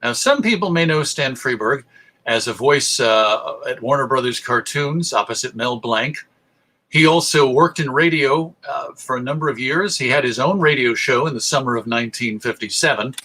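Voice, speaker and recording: Bright, husky American man giving a talk. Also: medium pitch. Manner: deliberate, emphatic phrasing with pauses. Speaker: presenter, radio show host. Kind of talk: informal public lecture.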